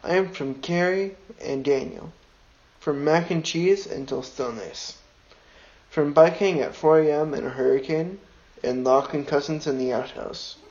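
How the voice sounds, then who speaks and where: Man with a higher voice, slow careful poem reading, muffled lo-fi sound. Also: a little mellow. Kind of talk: reading a personal poem aloud. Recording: dull lo-fi recording.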